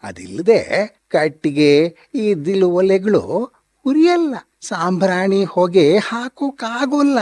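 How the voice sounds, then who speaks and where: A slow, animated storyteller narrating a humorous radio drama. The pitch moves a lot from phrase to phrase. The sound is close and dry. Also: no echo.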